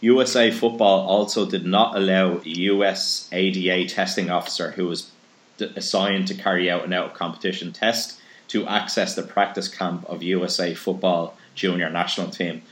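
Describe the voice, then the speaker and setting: A man's voice: sits low, fairly even intonation, moderate pace. A man hosting a casual podcast interview, chatty and reading news items aloud. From Ireland. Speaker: podcast host. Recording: echoey room.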